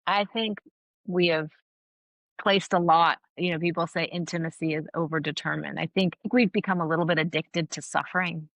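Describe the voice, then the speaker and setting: A woman's voice: fairly low pitch, steady tone, normal speed, a bit bright, no room sound, dull audio. A middle-aged woman whose first language is Farsi, a guest giving earnest, thoughtful interview answers with frequent restarts. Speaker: guest, anthropologist and author.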